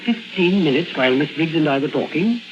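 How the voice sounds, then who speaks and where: An actor playing a self-assured, probing psychiatrist, firm but caring, with theatrical, deliberate questioning in 1950s radio drama style. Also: male voice, a little high, lively intonation, close to an everyday voice. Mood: firm, measured. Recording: muffled vintage radio recording with music.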